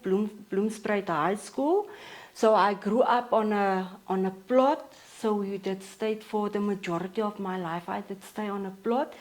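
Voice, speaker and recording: A South African woman in her forties, a counselor talking as a podcast guest in a steady, matter-of-fact way with frequent pauses. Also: mid-range voice. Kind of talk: casual interview talk.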